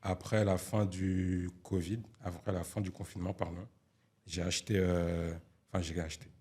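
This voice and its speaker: A man's voice: low voice, little pitch movement, normal speed, dark tone, husky. A twenty-three-year-old man, a guest and music producer, chatting in a laid-back, slangy way. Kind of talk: casual chat.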